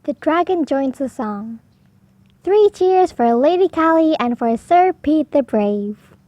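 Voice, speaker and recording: A woman reading a children's picture book aloud slowly and clearly, with expressive delivery for beginner readers. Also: high-pitched, dry recording. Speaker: storybook reader. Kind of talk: slow children's read-aloud.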